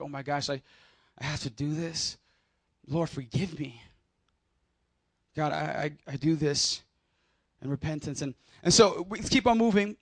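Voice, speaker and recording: A man's voice: on the high side, dry recording, clean studio sound. A man preaching a sermon at a conference in a casual, emphatic way, with slang and calls for the crowd to repeat after him. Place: conference hall.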